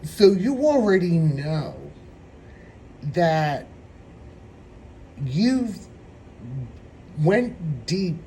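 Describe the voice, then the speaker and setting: A man with a hoarse, troubled throat giving a meandering, reflective spiritual tarot reading. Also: sits high, big pitch swings, warm tone, a little hiss or hum, poor audio. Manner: meandering, reflective, slow-spoken. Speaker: tarot reader.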